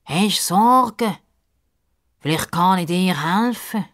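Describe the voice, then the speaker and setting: A woman voicing a small, courteous male crocodile with formal, deliberate speech in a children's story. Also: on the low side, expressive. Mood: courteous, caring. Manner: formal, slow, deliberate introductions and polite address. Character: small grass-green male crocodile, courteous, helpful, quirky gentleman.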